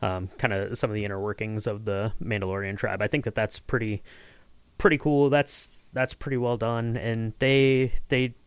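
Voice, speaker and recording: Clear, dry-sounding male podcast host. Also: fairly low pitch, steady tone, normal speed, no room sound. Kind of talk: casual discussion, recapping a story.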